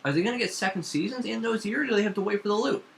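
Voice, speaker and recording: A man's voice: sits high, rapid-fire, fairly bright tone. A man talking as a podcast co-host in casual, opinionated pop culture chat, informal, rambling and emphatic.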